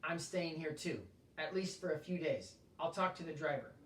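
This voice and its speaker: A man reading aloud voices a calm, steady male mountain guide who speaks plainly. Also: fairly high pitch, normal speed, slight background noise, close to an everyday voice. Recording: echoey room, voice from a distance.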